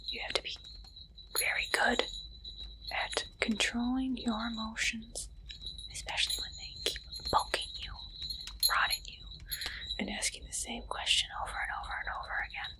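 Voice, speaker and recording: A woman speaking in a soft, breathy whisper, playing an interviewer in an ASMR roleplay with slow, deliberate, deadpan delivery. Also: fairly high pitch, poor audio.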